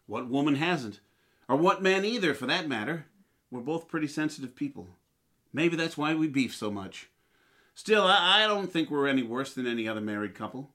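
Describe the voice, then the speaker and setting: A man's voice: on the high side, animated, moderate pace, a bit bright. A man playing a blustery, henpecked but sentimental husband in 1940s radio comedy style. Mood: rueful, tender.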